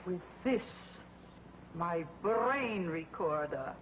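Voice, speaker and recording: A woman playing a vengeful, power-hungry witch queen in a 1950s TV drama, slow and imperious. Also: fairly low pitch, lively intonation, heavy background noise. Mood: gloating. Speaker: villain. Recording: muffled old TV soundtrack with loud music.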